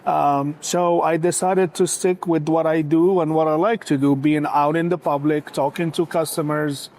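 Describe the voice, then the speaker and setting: Hesitant man, high voice. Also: fairly even intonation, moderate pace, darkish tone. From Morocco. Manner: hesitant, many filler sounds. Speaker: restaurant founder, guest. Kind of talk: casual interview.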